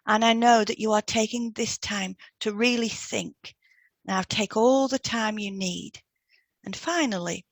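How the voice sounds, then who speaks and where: A woman co-hosting a scripted podcast, reading out advice in a gentle, measured way as a therapist would. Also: mid-range voice, no echo. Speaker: podcast co-host and hypnotherapist. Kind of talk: scripted podcast advice with example client questions read out.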